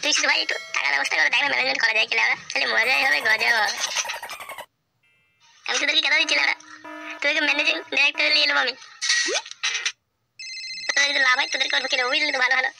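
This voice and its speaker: A woman's voice: high voice, steady tone, racing, vocal fry, long pauses, poor audio. A woman with a Bengali accent voicing a foul-mouthed, scheming young slacker in a comedy cartoon, crude, slangy and boastful. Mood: eager, boastful. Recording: music bed under the voice.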